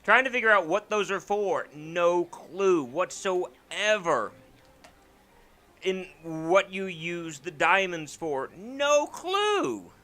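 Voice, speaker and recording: A man's voice: high-pitched, very animated, slow, bright, forceful tone. A man giving casual let's play commentary as host, rambling and joking, often repeating his words. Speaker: gaming channel host.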